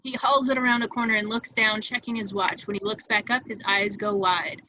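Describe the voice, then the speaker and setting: A woman's voice: mid-range voice, fairly even intonation, fairly quick, bright, forceful tone, dry recording, lo-fi sound. A woman reading scripted stage directions as the narrator of a table read, in a vivid storytelling manner.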